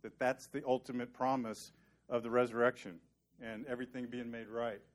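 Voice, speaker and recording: A man's voice: medium pitch, level tone, moderate pace. A man teaching a sermon, earnest and conversational, walking through scripture and sometimes joking dryly with the congregation. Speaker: preacher.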